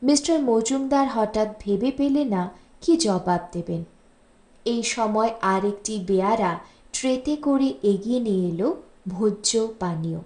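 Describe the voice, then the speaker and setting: A woman reading a literary story as an audiobook narrator, in an expressive storytelling style. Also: mid-range voice, measured pace. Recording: roomy, echoing voice recording.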